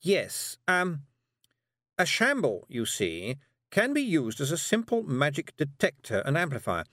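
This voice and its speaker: A brisk, prim witch, voiced by a male narrator, speaks in a raised, flustered voice that swoops up and down. She keeps insisting she is calm while sounding on edge. The sound is dry and close, with no room around it. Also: fairly high pitch, no room sound, voice pushed up, full character voice. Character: fussy nervous brisk woman witch. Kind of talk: audiobook character voice.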